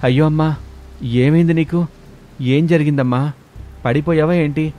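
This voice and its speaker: A man's voice: on the high side, steady tone, normal speed, mellow, faint music behind, close to an everyday voice. A man voicing an exasperated, easygoing adult son and father in a family story. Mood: alarmed.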